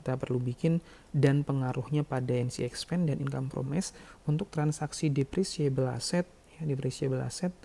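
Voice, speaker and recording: A man's voice: mid-range voice, steady tone, normal speed, vocal fry, mellow, slightly rough. A man explaining worked calculations step by step as a lecturer, often adding small check-in words. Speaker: accounting lecturer.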